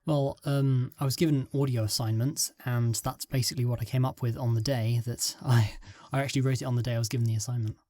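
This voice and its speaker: A male scriptwriter answers interview questions, pausing and restarting his phrases. His voice is mid-pitched. The studio sound is dry. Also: steady tone, dark tone, no room sound. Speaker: guest, scriptwriter.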